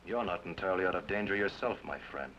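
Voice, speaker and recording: A curt menacing bandit boss. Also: male voice, low voice, animated, fast talker, a bit bright, lo-fi sound. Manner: curt and commanding. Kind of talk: 1940s radio acting. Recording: roomy hissy old radio broadcast.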